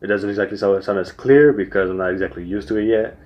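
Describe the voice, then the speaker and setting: A man's voice: on the low side, quick pace, highs cut off. A young man giving casual singing tips, rambling with many restarts and fillers. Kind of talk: casual spoken tutorial.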